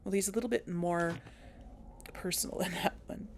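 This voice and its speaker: A woman's voice: on the low side, fairly even intonation, normal speed. A woman hosting a casual game playthrough, talking in a rambling, hesitant way with many fillers and restarts. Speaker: let's play host. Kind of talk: casual gameplay commentary.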